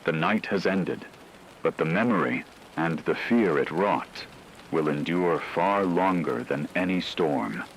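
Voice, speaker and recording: A man playing a radio newsman who gravely reports a supernatural horror in formal, ominous scripted narration. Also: low voice, expressive, a little slow, slight background noise, low-quality recording.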